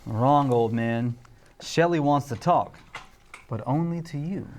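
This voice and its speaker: A man's voice: medium pitch, slow pace, darkish tone. A man playing a cocky, bookish police detective of thirty in a screenplay table read, sarcastic and needling. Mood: impatient.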